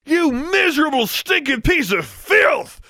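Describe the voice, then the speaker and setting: A fierce, protective older teenage wizard performed by a male narrator, forceful and intense. His pitch is raised well above the narrator's, in a dry, close storybook recording. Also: steady tone, fast, dry recording, natural voice. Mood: furious. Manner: forceful, roaring and snarling.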